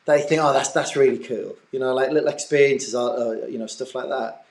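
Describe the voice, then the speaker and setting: A man's voice: mid-range voice, steady tone, brisk. A man in his early forties doing a casual interview, talking in a rambling, enthusiastic, informal way. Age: early 40s. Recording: echoey room.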